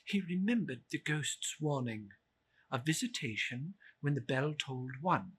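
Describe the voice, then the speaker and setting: A man's voice: a little high, animated, a little slow, fairly bright tone, husky. A man giving a dramatic narration of a classic literary story.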